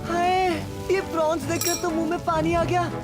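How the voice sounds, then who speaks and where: A woman's voice: extremely high pitch, level tone, normal speed. A woman voicing a greedy, cheeky little boy in a children's cartoon dub, eager and impatient. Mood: eager, hungry. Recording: loud music behind the voice.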